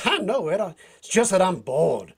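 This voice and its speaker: An actor playing a cocky, needling adventurer with a folksy drawl in an old-time radio drama. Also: male voice, high-pitched, sing-song, moderate pace, gravelly, slightly rough, exaggerated voice. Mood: folksy, sly. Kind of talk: 1940s radio acting.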